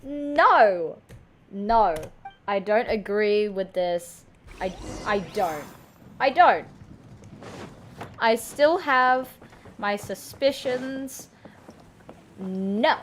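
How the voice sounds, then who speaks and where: Young woman streamer, slow and lively, joking commentary, noisy mix. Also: medium pitch. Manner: joking, reactive. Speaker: gameplay streamer. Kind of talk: casual commentary over gameplay.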